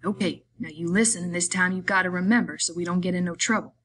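Lady doing a small cranky tired farmhand guy, scolding, rough country talk, lowish voice for audiobook. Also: steady tone, fast talker, a bit bright, a little hiss or hum, close to an everyday voice. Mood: exasperated. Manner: scolding, rough rustic speech. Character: small, sharp, irritable, weary migrant worker man.

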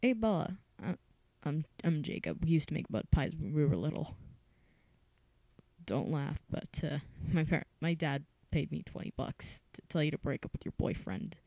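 A woman's voice: low-pitched, animated, moderate pace, creaky, dark tone, dry recording, exaggerated voice. A woman voicing a sheepish, friendly teenage boy with a bashful stammer in an audiobook audition.

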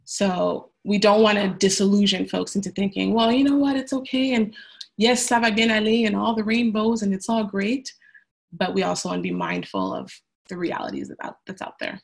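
A woman's voice: mid-range voice, lively intonation, moderate pace, vocal fry. A young woman presenting a webinar on a video call, chatty and full of "um"s. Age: young adult. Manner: chatty, many "um"s, speaks of youth speaking to youth. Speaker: webinar presenter, communications manager. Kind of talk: presenting slides, then leading discussion. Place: indoor room at home. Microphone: earbuds on video call.

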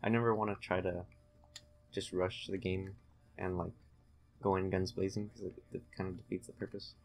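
Low, creaky, flat-voiced young man gaming. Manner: halting, low-key, distracted while concentrating. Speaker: gamer playing and commentating. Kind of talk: casual let's-play commentary.

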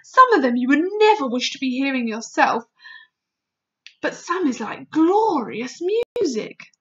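A woman voicing a gentle, proud, excitable giant in a storybook, with quaint, ungrammatical speech and muddled invented words. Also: high voice, measured pace, highs cut off, exaggerated voice. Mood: solemn, awed. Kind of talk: storybook character voice.

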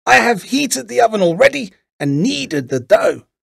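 Man putting on a high, scolding old witch. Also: big pitch swings, normal speed, vocal fry, no room sound, studio quality, exaggerated voice. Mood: sly command. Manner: scolding, commanding. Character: wicked old female witch, cruel, impatient and bossy. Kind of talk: storybook character voice.

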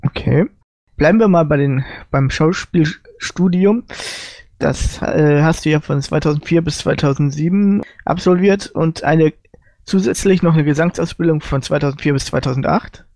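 A man's voice: fairly high pitch, moderate pace, a little mellow, no echo. A man working as a podcast co-interviewer, asking questions in a casual way.

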